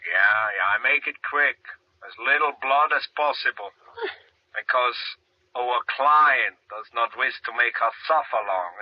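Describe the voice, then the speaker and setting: An actor playing a cold, obliging hired killer talking business over the telephone in a 1940s radio suspense play. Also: male voice, medium pitch, unhurried. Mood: cold, casual. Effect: telephone line. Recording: dull vintage radio recording.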